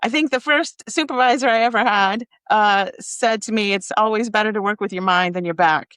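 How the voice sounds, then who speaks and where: A middle-aged American woman answering interview questions in a measured, thoughtful, conversational way. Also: mid-range voice, a bit bright, no echo. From raised in Kansas, United States. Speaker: interview guest, nonprofit executive.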